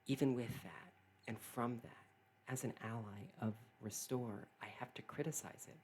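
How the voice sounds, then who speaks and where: A man who is not British, talking alone in an earnest, halting way, often restarting and repeating his phrases. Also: medium pitch, fairly even intonation, darkish tone, slight background noise. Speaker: political commentator. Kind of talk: solo opinion monologue.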